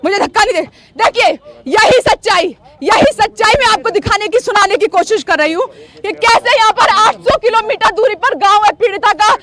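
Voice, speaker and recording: A woman with an extremely high-pitched voice reports live as a television field journalist. She is confrontational and agitated, pressing her points with insistent repetition. Her delivery is impassioned and emphatic, often raised. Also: expressive, moderate pace, a bit bright. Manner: insistent, agitated, repeating demands and questions. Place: outdoors on a blocked road.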